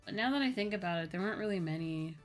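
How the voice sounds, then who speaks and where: Young adult female streamer, American, low register. Casual, rambling, unscripted chat over background music. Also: expressive, very fast. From United States. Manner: rambling, informal, self-correcting. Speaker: livestreamer, virtual YouTuber. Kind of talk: casual chat with live viewers. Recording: music playing behind the voice.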